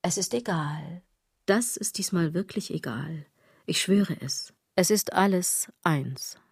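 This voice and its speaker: A woman's voice: on the low side, animated, slow pace, gravelly, background noise, full character voice. An actress playing a sardonic, rambling woman in a literary radio drama monologue, ironic and insistent, often correcting herself. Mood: insistent, resigned. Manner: ironic, self-correcting, insistent rhetorical delivery. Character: sardonic, rambling woman brooding on fashion and clothes.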